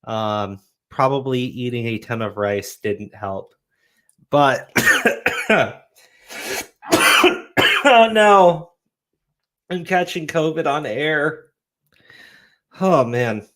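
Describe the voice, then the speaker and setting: A young man with a cold hosts a casual live stream, coughing and rambling between stumbling restarts. His voice sits at an ordinary middle pitch and sounds relaxed and conversational. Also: extremely slow, long pauses. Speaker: host.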